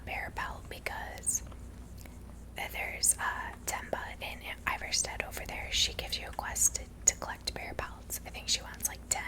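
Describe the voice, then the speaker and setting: A woman softly whispering ASMR commentary as she plays a video game, gently musing aloud. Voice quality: soft breathy whisper. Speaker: host playing a video game.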